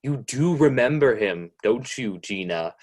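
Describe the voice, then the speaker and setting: A man playing a knowing, ominous narrator of an old-time radio mystery, speaking in a deliberate, insinuating way. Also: medium pitch, animated, darkish tone. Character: knowing, ominous male narrator who needles the guilty heroine. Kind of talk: 1940s radio mystery narration, second person.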